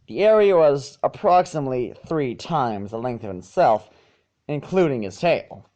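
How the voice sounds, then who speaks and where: A man's voice: mid-range voice, lively intonation, moderate pace, darkish tone, lo-fi sound. A man reading a story aloud as an amateur narrator, with occasional stumbles and repeats. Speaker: fan fiction reader.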